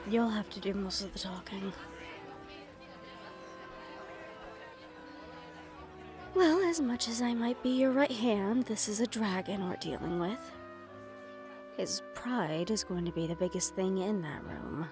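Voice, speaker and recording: A woman's voice: mid-range voice, expressive, normal speed, music in the background, natural voice. Casting: female actor as composed, nervy right-hand woman to a crime boss, intimate audio drama delivery. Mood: businesslike. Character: poised, anxious female right-hand assistant to mafia boss. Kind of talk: intimate audio roleplay acting.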